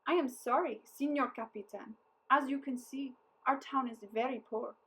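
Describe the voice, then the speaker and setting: Give the storybook mayor as a woman reads him aloud a wary, grumbling edge. He is sly and formal, stressing his words in a raised, high voice. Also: normal speed, a bit bright, low-quality recording. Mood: feigned regret.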